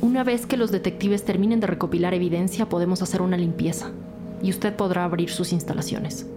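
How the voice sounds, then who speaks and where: A woman's voice: lowish voice, fairly even intonation, fairly quick, music in the background, natural voice. A woman playing a methodical, level-headed police detective in an audio drama. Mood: calm, professional.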